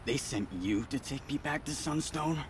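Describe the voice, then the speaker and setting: An actor playing a cynical, unrepentant escaped convict and smuggler in a video game, speaking with a dry, sardonic manner. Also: male voice, mid-range voice, moderate pace, background noise, close to an everyday voice. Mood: wary, resigned. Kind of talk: dramatic video game acting.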